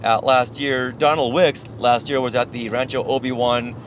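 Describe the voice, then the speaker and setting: A man's voice: medium pitch, fairly even intonation, normal speed, a little hiss or hum. A man with an American accent co-hosting a podcast in casual, chatty style. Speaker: podcast co-host. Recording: music behind the voice. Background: music.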